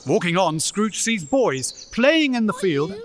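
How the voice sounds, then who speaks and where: High-pitched, unhurried male storyteller. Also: sing-song, a little hiss or hum. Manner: measured, dramatic. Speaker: narrator. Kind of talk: dramatic scripted storytelling for a radio play.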